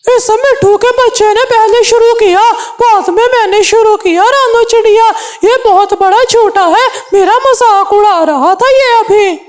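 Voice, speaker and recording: A woman's voice: very high voice, unhurried, few pauses. A woman voicing a touchy, quarrelsome male bird in a children's cartoon, with a huffy, argumentative way of talking. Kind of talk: Hindi children's cartoon dialogue.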